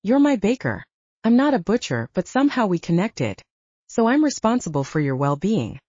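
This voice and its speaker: A woman's voice: mid-range voice, sing-song, normal speed, warm tone, dry recording, dull audio. A woman voicing a blunt, protective young male butcher who teases, in an audiobook. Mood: earnest, protective. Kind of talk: audiobook character dialogue.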